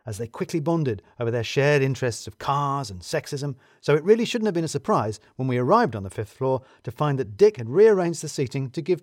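A British man narrates a comic story in the first person, with a dry, wry delivery. His pitch moves around a great deal as he leans on key phrases, and the sound is close and dry. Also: darkish tone, dry recording. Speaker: narrator of an audio fiction serial.

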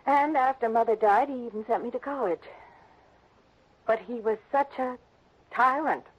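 An actress playing a defiant, headstrong young adopted heiress in a 1960s radio drama. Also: female voice, on the high side, normal speed, breathy edge. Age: young adult. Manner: headstrong, defensive. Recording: dull lo-fi old radio broadcast.

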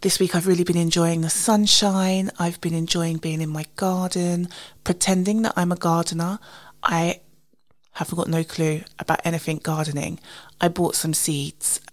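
Woman, British accent, slightly low pitch, husky head-cold sound, casual solo podcast chat, self-deprecating. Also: flat delivery, normal speed. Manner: informal, self-deprecating, recounts conversations in quoted dialogue. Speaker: podcast host.

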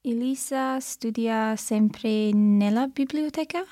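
A woman learning a language, answering translation drills slowly and tentatively. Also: fairly high pitch, warm tone, no echo, studio quality.

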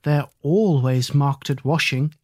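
A woman's voice: low voice, slow pace, darkish tone, no echo. A woman voicing a stout, anxious female hedgehog washerwoman who talks in a deferential, old-fashioned polite way. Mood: fussy polite.

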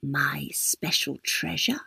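A frail old lady, as a woman puts her on speaks in a breathy, confiding whisper. She is confused and easily upset. The sound is close and dry. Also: medium pitch, very animated, slow pace, dark tone, no echo, exaggerated voice. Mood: hushed. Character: frail, confused elderly woman, bedridden and fretful.

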